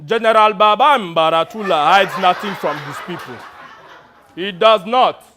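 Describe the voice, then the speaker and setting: A man voicing a pompous African warlord general with an exaggerated African accent, declamatory, drawn-out and theatrical. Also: high voice, noisy background. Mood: grandiose. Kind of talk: exaggerated comic character acting.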